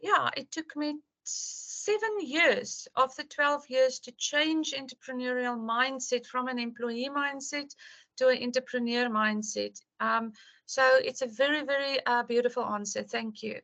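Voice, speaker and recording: A woman's voice: high-pitched, a little slow, gravelly, fairly bright tone. A woman instructor opening an online course, repeating her questions and praising answers, with many fillers. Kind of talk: online class intro.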